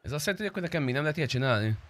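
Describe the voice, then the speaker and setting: A man's voice: fairly high pitch, animated, a bit bright. A young man chatting casually on a game livestream in informal, slangy, sometimes profane speech. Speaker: game streamer.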